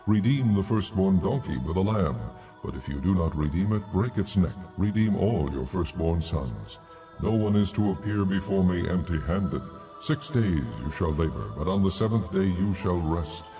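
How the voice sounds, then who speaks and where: Over music comes a commanding God in an audio Bible drama. The voice is very deep and dark, and every command is delivered with grave, deliberate authority. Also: male voice. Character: God, commanding, solemn, stern divine lawgiver.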